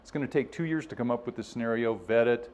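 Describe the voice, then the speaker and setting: A man's voice: medium pitch, quick pace, a little mellow. A man presenting at a scientific workshop in an informal, conversational way, explaining things and voicing other people's positions as he goes.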